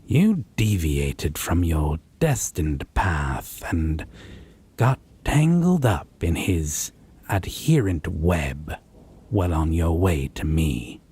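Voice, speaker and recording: A man playing a possessive, condescending vampire lover in a roleplay audio drama, haughty and deliberate with a menacing, husky delivery. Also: low voice, sing-song, dark tone, full character voice. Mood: scornful, menacing. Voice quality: breathy, husky.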